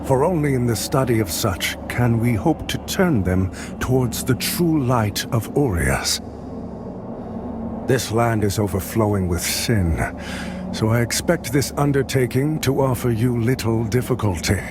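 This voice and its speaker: Casting: male actor as severe fantasy holy man, mid-pitched, formal and commanding. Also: animated, background noise, lightly acted. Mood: solemn command. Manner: formal, measured, authoritative. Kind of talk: dark fantasy game character acting.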